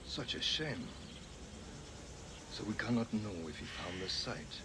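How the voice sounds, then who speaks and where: A calm, formal assassin mentor who speaks with quiet authority. Birds and outdoor ambience run underneath. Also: male voice, fairly low pitch, a little slow. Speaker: game character. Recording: game audio with ambient noise.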